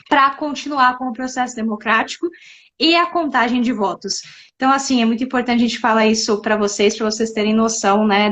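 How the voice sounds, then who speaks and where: A woman with a Brazilian accent hosting and giving conversational political commentary. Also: a little high. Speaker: show host. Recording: faint background noise.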